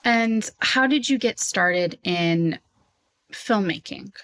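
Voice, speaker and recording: Woman podcast host, medium pitch. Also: animated, slow, a bit bright. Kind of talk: conversational podcast interview.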